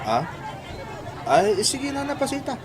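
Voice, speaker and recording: A man's voice: extremely high pitch, huge pitch swings, a little slow, a crowd in the background, noisy background, heavily acted. A man acting as a poor, polite laborer in an Ilocano radio drama, with a Filipino accent and a deferential, apologetic manner. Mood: respectful, eager. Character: poor, polite, humble married male laborer.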